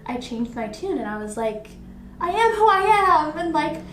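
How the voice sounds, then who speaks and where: A woman with a somewhat high voice talks casually as a podcast host. She thinks aloud in an earnest way, dropping in "like" and "you know." The room is clearly echoey, with a little noise behind her. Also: sing-song, normal speed. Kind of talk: casual reflective conversation.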